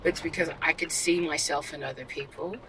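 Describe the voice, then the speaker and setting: A young woman, an actor and writer, talking candidly in a casual interview and telling animated stories with many fillers and restarts. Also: low voice, fairly quick, a crowd in the background, low-quality recording. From Australia.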